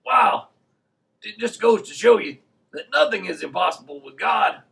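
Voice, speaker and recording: Man putting on a creaky, high puppet sidekick. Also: lively intonation, normal speed, sharp tone, exaggerated voice, rough recording. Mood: amazed. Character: eager, curious puppet sidekick, childlike and amazed. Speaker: puppet sidekick on a children's Bible show. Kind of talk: children's puppet show dialogue.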